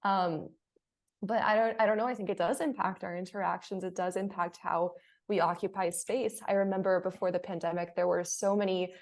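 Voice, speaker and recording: A woman's voice: medium pitch, fairly even intonation, brisk. Woman reflecting aloud in a panel chat. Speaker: panelist, theatre artist. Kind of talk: casual panel discussion.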